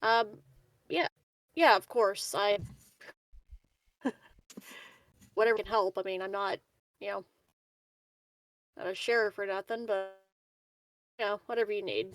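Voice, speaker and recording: A woman's voice: mid-range voice, unhurried, pauses often, a bit bright, no room sound, lightly acted, low-quality recording. A woman playing a modest, folksy colony worker in a tabletop roleplay, talking in a hesitant, informal, eager-to-help way. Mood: agreeable, hesitant. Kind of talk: improvised tabletop roleplay dialogue.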